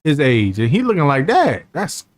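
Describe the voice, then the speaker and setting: A man's voice: fairly high pitch, huge pitch swings, moderate pace. A man in his thirties, a streamer reacting to a video in casual, mocking, slangy banter. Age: 30s.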